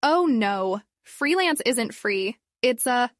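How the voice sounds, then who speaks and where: Bright country imp gal, Southern drawl, upbeat, as a woman reads her. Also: fairly high pitch, animated, takes it slowly, dry recording, studio quality. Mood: cheerful. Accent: rural Southern US.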